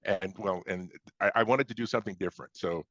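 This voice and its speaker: An American man from Chicago speaking as an interviewed author, explaining things conversationally in a halting way with repeated starts. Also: low-pitched, a bit bright. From Chicago, United States. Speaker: science fiction author being interviewed. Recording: dull, roomy lo-fi sound.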